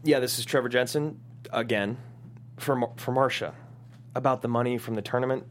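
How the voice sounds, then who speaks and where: An actor reading the part of a hesitant, weary esports team manager at a table read of a pilot script. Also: male voice, medium pitch, fairly even intonation, fairly quick, lightly acted. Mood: anxious, polite. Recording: clear hum and background noise.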